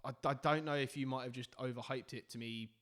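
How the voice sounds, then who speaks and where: A man's voice: medium pitch, steady tone, fast, fairly bright tone. A man co-hosting a casual round-table album review, rambling and hesitant with many restarts and fillers. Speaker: podcast co-host.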